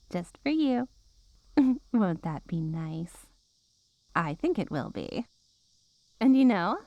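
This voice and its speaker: A woman's voice: fairly high pitch, darkish tone, dry recording, dull audio. A woman playing a possessive, menacing witch girlfriend in an ASMR roleplay, cooing and teasing with mock-innocent sweetness and veiled threats.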